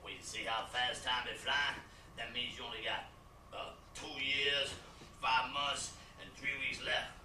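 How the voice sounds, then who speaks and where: A man performing a streetwise male gang veteran who talks a new inmate through prison life with profane slang and swagger. His pitch sits in a middle range. The sound is echoey and picked up from well back, with loud ambient noise all around. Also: fairly even intonation, unhurried. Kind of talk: theatrical monologue. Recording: echoey, distant mic, noisy ambience.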